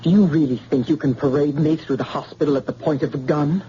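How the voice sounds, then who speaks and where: An actress playing a doctor held at gunpoint in an old radio drama, giving tense, measured replies. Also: female voice, low voice, warm tone, close to an everyday voice. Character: woman doctor held at gunpoint, quick-witted. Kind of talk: 1940s radio acting. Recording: dull old radio recording, faint hiss.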